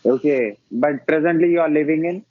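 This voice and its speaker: A man's voice: fairly high pitch, brisk, no room sound. A man hosting a casual interview podcast, talking hesitantly with many filler sounds and restarts. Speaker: podcast host. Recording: dull dry lo-fi audio.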